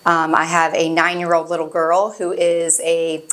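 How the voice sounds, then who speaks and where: A woman in her forties from Florida, an occupational therapist, answering interview questions in an upbeat, quick-witted way. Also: deep voice, completely flat delivery, fairly bright tone. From Florida, USA. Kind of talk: casual interview chat.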